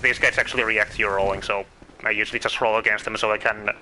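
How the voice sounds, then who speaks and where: Bright-voiced Finnish-accented man streaming. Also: on the low side, steady tone, fast talker, slight background noise, poor audio. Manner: chatty, thinks aloud, asks viewers questions, self-correcting. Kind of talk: casual live gameplay commentary.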